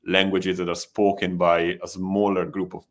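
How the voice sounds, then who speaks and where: A man's voice: deep voice, little pitch movement, normal speed. A man whose first language is Italian, speaking as a panelist in a discussion, thoughtful and hesitant with frequent restarts.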